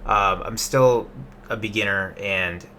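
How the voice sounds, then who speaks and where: A man's voice: on the low side, slow, sharp tone, slight background noise. A man in his late twenties giving a loose, rambling investing update to his webcam, with plenty of fillers and restarts. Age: 20s. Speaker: investing vlogger.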